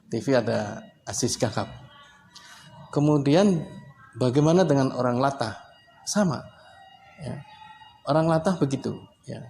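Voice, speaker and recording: Man, from Indonesia, teacher, gravelly, unhurried short phrases. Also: medium pitch, darkish tone, a little hiss or hum. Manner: unhurried, talks in short phrases, ends points with "ya". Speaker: English teacher. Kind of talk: casual explanatory lesson.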